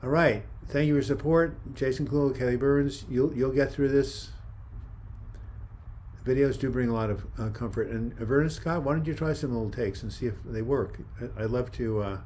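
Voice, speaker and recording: Calm, soft-spoken older man. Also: medium pitch, moderate pace, a little hiss or hum. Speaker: livestream host. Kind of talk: casual live chat reading viewer comments.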